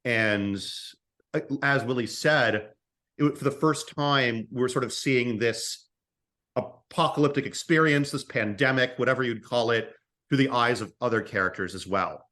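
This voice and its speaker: A man's voice: medium pitch, unhurried, sharp tone. A man speaking as an interview guest and writer, casually discussing creative work, often repeating and restarting his phrases.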